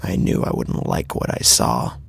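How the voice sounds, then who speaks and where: A man narrating a first-person horror story dramatically, with a grave, intense delivery and a husky, gravelly voice. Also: moderate pace.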